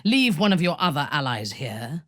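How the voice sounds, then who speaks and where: A woman voicing a ruthless, haughty exiled dark elf warrior in a video game, commanding and menacing, with formal diction. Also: sits low, big pitch swings, normal speed, no room sound. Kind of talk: video game character voice acting.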